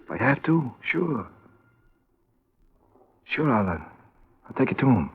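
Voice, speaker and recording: An actor playing a guilt-ridden small-time American crook in a radio crime drama, agitated and halting, repeating himself insistently. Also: male voice, medium pitch, big pitch swings, husky, exaggerated voice. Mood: resigned. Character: guilt-ridden, nervous male small-time drug peddler turned informant. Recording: muffled old radio broadcast recording.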